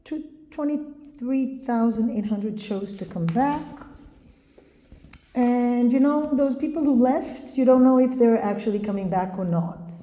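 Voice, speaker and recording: A woman with an Israeli accent, talking casually to camera with many pauses and ums. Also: a little high, warm tone. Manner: slow, hesitant, many ums. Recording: echoey room with long reverb.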